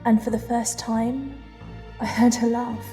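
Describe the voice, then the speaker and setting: A lonely British woman played by an actress tells her story in an intimate, reflective audio drama style. She speaks slowly in a slightly high voice, leaving deliberate pauses, with music underneath. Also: level tone, dark tone, close to an everyday voice. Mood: tender, wondering. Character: lonely, introspective woman telling her dark love story. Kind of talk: dramatic first-person audio drama narration and dialogue.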